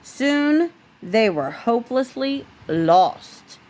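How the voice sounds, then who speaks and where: Woman reading a bedtime story, higher-pitched voice. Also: big pitch swings, very slow, darkish tone. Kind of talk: storybook read-aloud with casual asides.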